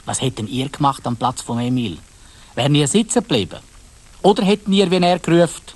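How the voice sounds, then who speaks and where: A man's voice: a little high, sing-song, brisk, a little mellow. A man with a Swiss German accent narrating a dramatised children's story, addressing young listeners directly.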